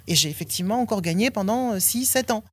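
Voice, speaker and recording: A woman's voice: medium pitch, lively intonation, fast. A French woman in her fifties, a former athlete, telling her own story in a frank, blunt way and swearing now and then. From France. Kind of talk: candid personal testimony.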